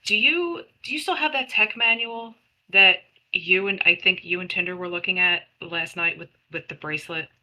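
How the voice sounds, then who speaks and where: A woman's voice: fairly low pitch, normal speed, bright, forceful tone, low-quality recording. A woman chatting casually as a player in a tabletop game, saying what her character does.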